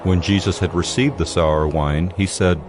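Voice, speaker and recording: A man's voice: low-pitched, normal speed, background noise. A man reading a scripted, dramatized scripture narration. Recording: music bed under the voice.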